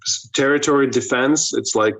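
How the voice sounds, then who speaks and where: A man, a pastor speaking in a remote news interview, halting with frequent restarts and fillers. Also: medium pitch, a bit bright. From Ukraine. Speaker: pastor and missionary. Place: in Lviv, Ukraine, remote link.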